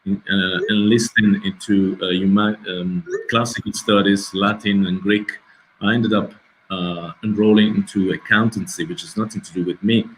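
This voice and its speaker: A middle-aged man, a documentary filmmaker answering festival questions over a video call, pausing often and searching for his English words. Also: fairly low pitch, fairly even intonation, a little hiss or hum, rough recording. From Italy. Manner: hesitant, searching for words, many restarts.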